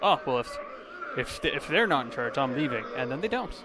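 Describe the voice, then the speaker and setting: A man's voice: mid-range voice, very animated, brisk. A Canadian man giving casual, hesitant let's play commentary with a bantering manner. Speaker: gaming channel host. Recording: very noisy, game audio behind. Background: game ambience.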